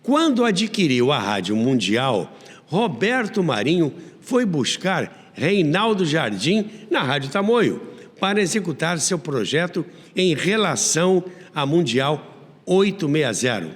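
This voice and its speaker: A Brazilian man delivers scripted documentary narration as host. His pitch sits fairly high and moves a lot, while his pace is slow and measured, with a long reverb trailing the voice. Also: big pitch swings. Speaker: host and narrator of a radio history series.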